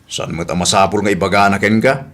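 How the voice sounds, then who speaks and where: A man's voice: on the low side, brisk, a bit bright, lightly acted. Teasing Ilocano uncle played by a man. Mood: gossipy. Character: teasing, gossipy married family man, an uncle. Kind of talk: Ilocano radio comedy drama acting.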